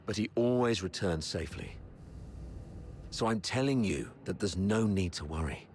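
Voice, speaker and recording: An actor playing an older, weathered army commander in a game, measured and authoritative, wry and steadfast. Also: male voice, low voice, animated, a little mellow, a little hiss or hum. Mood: grave, resolute. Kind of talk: game voice acting.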